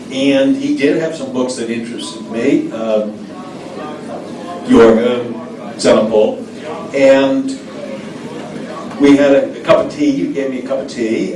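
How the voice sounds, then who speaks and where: An older American man, a former diplomat, telling anecdotes in an interview, hesitant with frequent fillers. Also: mid-range voice. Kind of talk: storytelling interview answers. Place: café event room. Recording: echoey room, distant mic, background noise.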